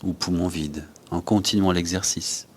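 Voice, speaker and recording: Deep-voiced man guiding yoga. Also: steady tone, a little mellow, a little hiss or hum. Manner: unhurried, instructing in short phrases with pauses. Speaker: yoga teacher. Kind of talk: guided yoga and breathing instruction.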